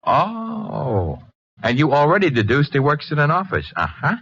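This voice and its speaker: A breezy American gumshoe from a vintage radio drama, with a forceful bright tone and lively, swooping delivery. He tosses off wisecracks wryly, through the muffled sound of an old broadcast. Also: normal speed, creaky, slight background noise, heavily acted. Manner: flippant, wry, swoops in pitch. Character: breezy wisecracking male private eye's legman. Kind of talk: 1950s American radio detective acting. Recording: dull vintage 1950s radio recording.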